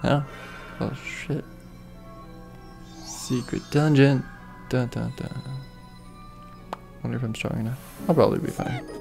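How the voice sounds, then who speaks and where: A hoarse man chatting through a gameplay stream, with a gravelly fry in his voice. His tone is dark and low-key, and he rambles, often trailing off mid-thought. Faint game music runs in the background. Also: unhurried, long pauses. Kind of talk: casual gameplay commentary.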